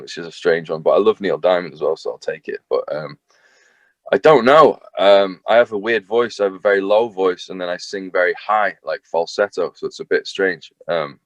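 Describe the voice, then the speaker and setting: Young northern english man, very deep voice, dry close sound, chatty and rambling. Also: no echo. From north of England. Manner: rambling, self-deprecating, chatty with many fillers. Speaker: singer-songwriter guest. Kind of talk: casual interview chat.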